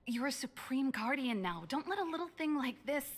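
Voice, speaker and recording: An actress voicing a free-spirited adult woman engineer in a game, talking briskly and frankly with a gravelly edge. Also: high voice, lively intonation. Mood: apologetic. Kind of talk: game voice acting.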